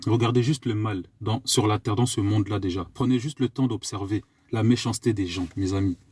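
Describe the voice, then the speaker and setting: A man's voice: fairly low pitch, steady tone, brisk, darkish tone, husky, no room sound. A man from France preaching to his listeners, repeating pointed questions and coughing at times. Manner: exhorting, repeats rhetorical questions. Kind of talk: live online sermon.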